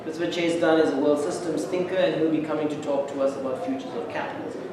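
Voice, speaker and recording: A man with a slightly high voice introduces a speaker at an academic seminar. He talks informally and in measured phrases with pauses. The sound is distant and very echoey, with clear noise in the room. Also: steady tone.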